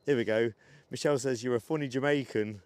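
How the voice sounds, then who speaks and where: A man's voice: medium pitch, fast, clean tone, no room sound. An older man from England hosting a live stream outdoors at an airport, chatting casually, joking and laughing easily, with a slight cough. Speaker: livestream host. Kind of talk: casual chat.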